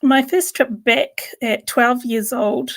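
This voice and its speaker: A woman in her fifties, born in India and raised in New Zealand, giving a measured public talk with frequent ums and uhs. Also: on the high side, gravelly. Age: 50s. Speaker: speaker presenting a report.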